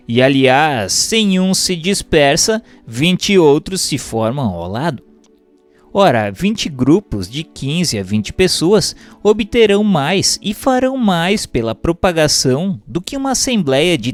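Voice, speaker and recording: A Brazilian man reading a written text aloud as a formal, measured, expressive narration. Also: fairly high pitch. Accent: Brazilian Portuguese. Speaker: reader of a Spiritist text. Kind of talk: scripted audiobook-style narration. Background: background music.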